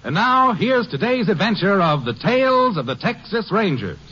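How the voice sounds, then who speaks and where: A man's voice: sits high, sing-song, normal speed, sharp tone, slightly rough. A man announcing on 1950s network radio in a polished, formal manner. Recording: dull vintage 1950s radio broadcast.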